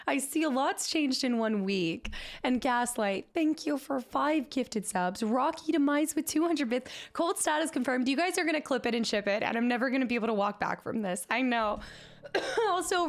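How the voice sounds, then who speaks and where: A chatty woman streaming from her apartment and greeting her chat, slightly hoarse as she recovers from laryngitis. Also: sits high. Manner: chatty and upbeat, rattles off viewer names. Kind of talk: casual live-stream chat. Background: faint background music.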